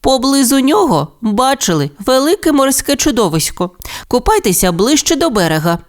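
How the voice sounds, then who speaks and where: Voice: sits high, big pitch swings, normal speed, voice pushed up. A storyteller voicing a strict but caring dinosaur mother, firm and instructive. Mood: stern.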